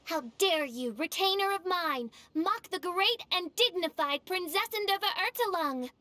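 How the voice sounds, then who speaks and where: Very high-pitched, grandiose princess girl. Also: lively intonation, normal speed, bright, forceful tone, long reverb tail, full character voice. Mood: haughty, imperious. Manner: pompous, archaic, declamatory phrasing. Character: grandiose, theatrical young princess girl proudly ruling her imagined kingdom. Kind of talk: video game voice acting.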